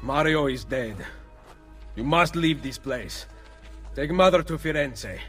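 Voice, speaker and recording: A man's voice: fairly high pitch, slow pace, a bit bright, soft background music. An actor playing a grave, protective assassin nobleman in a video game cutscene, earnest and terse. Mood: grave resolve.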